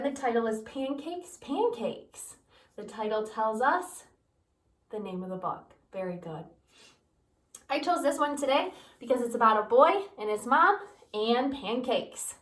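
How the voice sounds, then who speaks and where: A kindergarten teacher reads a picture book to young children and stops to explain things in simple words. Her voice is a bit high for a woman. The sound is echoey and slightly distant from the mic. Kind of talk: storytime read-aloud for young children.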